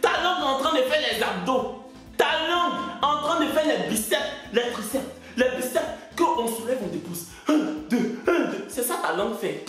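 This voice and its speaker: A man's voice: very high-pitched, lively intonation, moderate pace, a bit bright. A man from West Africa, delivering a stand-up comedy monologue in an indignant, mocking way and drawing out his punchlines. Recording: very echoey room, faint music.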